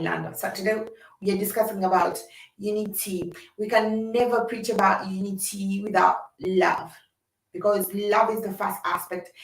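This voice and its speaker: A woman's voice: mid-range voice, distant mic. A young woman, earnestly hosting a casual livestream and speaking directly to her viewers. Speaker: livestream host. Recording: roomy sound from away from the mic.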